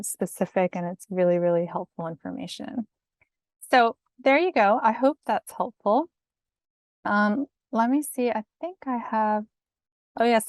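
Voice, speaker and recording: A calm, soft-spoken woman giving chatty career advice over slides, with fillers and little laughs. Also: medium pitch, dry recording. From family from Mexico. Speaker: academic career coach. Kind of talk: casual instructional talk over slides.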